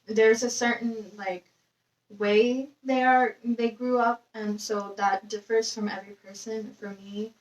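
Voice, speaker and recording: A woman's voice: on the high side, level tone, a little slow, muffled recording. A young woman from Nepal answering interview questions in a hesitant, casual way with many fillers. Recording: echoey room, distant mic, faint noise.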